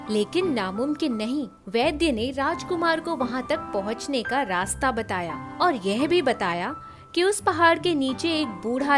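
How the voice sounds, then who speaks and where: A woman reading a scripted fairy tale for children as narrator. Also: high voice.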